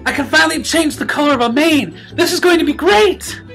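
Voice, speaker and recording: Man as an excitable high-voiced brainy mare. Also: brisk, gravelly, faint music behind, heavily acted. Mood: triumphant. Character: excitable brainy young female unicorn pony inventor. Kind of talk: fan fiction story reading.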